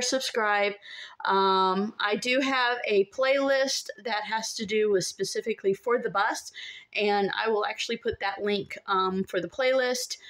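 A middle-aged woman gives a casual, chatty update to camera, pausing often as she goes. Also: mid-range voice, fairly bright tone. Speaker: vlogger. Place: inside an empty converted school bus. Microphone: phone at arm's length.

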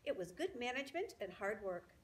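A woman's voice: on the high side, very animated, fairly quick, a little hiss or hum. A woman voicing a proud, fussy mother goose who repeats her words emphatically.

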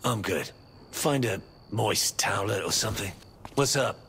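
An actor playing a tough, cocky, take-charge gang boss with a gravelly voice in a video game. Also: male voice, fairly low pitch, lively intonation, moderate pace, a bit bright, a little hiss or hum. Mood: casual.

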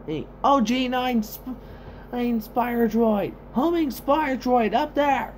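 A man voicing an excitable soldier commander in amateur sci-fi video-game voice lines, giving urgent, halting battle callouts. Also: extremely high pitch, a little hiss or hum, voice pushed up. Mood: frantic shouting.

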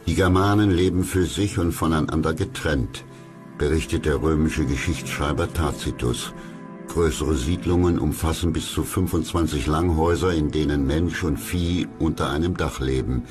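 A man narrates a documentary from a script. His voice is extremely low in pitch. Music plays clearly underneath him.

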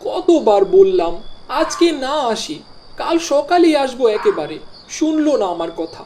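A woman's voice: fairly high pitch, sing-song, normal speed, warm tone. A woman voicing an anxious, dutiful young village son in a cartoon, hesitant and muttering to himself. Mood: worried. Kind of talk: cartoon dialogue acting. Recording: clear background noise.

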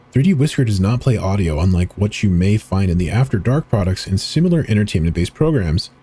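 A man giving a slow, deliberate dramatic reading of forum posts and web pages in an ominous tone. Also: lowish voice, dark tone, breathy edge. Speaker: channel host reading horror texts.

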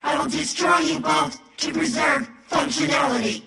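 A woman playing an imperious supercomputer villain in a video game, speaking in measured lines with a reverberant machine effect. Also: sits high, vocal fry, pushed and bright, shouting, exaggerated voice. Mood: menacing. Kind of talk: dramatic game villain acting. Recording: cavernous echo, distant, music behind.

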